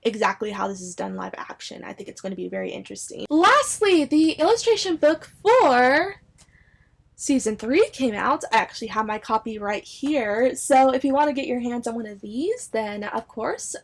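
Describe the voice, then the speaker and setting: A woman's voice: fairly high pitch, sing-song, normal speed. A woman in her twenties chatting casually and enthusiastically to viewers like a gushing fan sharing news. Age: 20s. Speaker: anime and book video creator.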